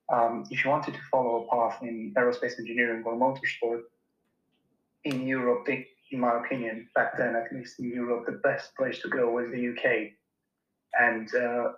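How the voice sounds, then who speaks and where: A man's voice: medium pitch, fairly bright tone, roomy sound, highs cut off. A young man from Romania in his twenties, an engineer answering interview questions in a thoughtful, hesitant way with many fillers and restarts. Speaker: engineer guest.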